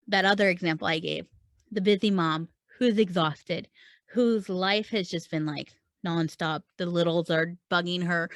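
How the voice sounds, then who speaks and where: A woman's voice: medium pitch, a bit bright, dry recording. A woman hosting an instructional podcast as a coach, talking conversationally and informally, stressing her points with repetition and questions to the listener. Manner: emphatic, repeats points with rhetorical questions; informal, chatty phrasing with check-ins to listener. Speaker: podcast host and writing coach.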